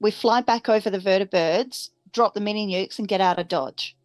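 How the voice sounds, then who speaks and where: A woman's voice: mid-range voice, moderate pace, no room sound, faint music behind. A woman talking strategy casually and decisively as a player in a tabletop game.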